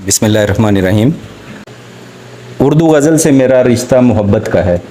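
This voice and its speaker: A man with a Pakistani accent giving a formal academic literature lecture, halting and deliberate, with frequent pauses to find his words. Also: mid-range voice, lively intonation, warm tone, slight background noise, rough recording.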